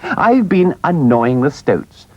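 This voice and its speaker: A man's voice: fairly high pitch, very animated, slow, no echo, dull audio, pitched above the performer's own voice, full character voice. A man voicing a small, cheeky male mole, gleeful and pleased with himself. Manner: gleeful, storytelling.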